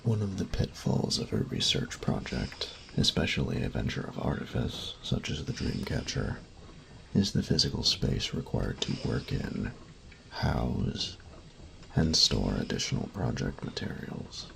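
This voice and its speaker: A man playing a grumpy, fastidious steampunk artificer-mage in an audio roleplay, speaking formally and precisely with pedantic, halting phrasing. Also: a bass voice, big pitch swings, creaky, a bit bright, slight background noise, exaggerated voice, rough recording. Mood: lecturing. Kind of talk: scripted roleplay audio drama, addressing the listener.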